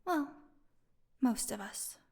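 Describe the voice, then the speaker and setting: Woman acting a slow, sarcastic captive vampire woman. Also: a little high, animated, creaky, dark tone, husky, heavily acted. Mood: bored, mocking. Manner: sarcastic, drawling, taunting. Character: snarky, defiant captive female vampire, starved and bored. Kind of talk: audio roleplay monologue.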